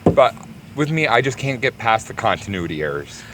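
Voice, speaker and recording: Man, average pitch, opinionated, blunt, joking, informal film podcast chat, faintly noisy recording. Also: big pitch swings, moderate pace. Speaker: podcast co-host. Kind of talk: casual film review chat. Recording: faint background urban ambient noise.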